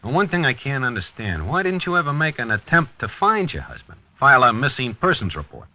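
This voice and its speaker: An actor playing a methodical police detective in a 1950s radio drama, clipped, businesslike and probing. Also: male voice, medium pitch, very animated, fairly quick, bright, forceful tone, full character voice. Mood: probing, polite. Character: methodical male police detective narrating and questioning a witness. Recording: dull lo-fi vintage radio broadcast.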